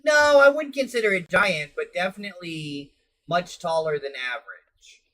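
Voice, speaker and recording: A man's voice: sits high, lively intonation, normal speed, pushed and bright. Blunt joking Midwestern man. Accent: Midwestern American. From American Midwest. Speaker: streamer host. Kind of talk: casual late-night chat.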